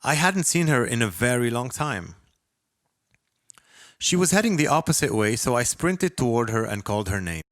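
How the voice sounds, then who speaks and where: A man's voice: medium pitch, big pitch swings, moderate pace, a bit bright, no echo. Man reading fiction, very dry sound. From Lebanon. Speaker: author reading own short story. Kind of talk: scripted first-person literary narration.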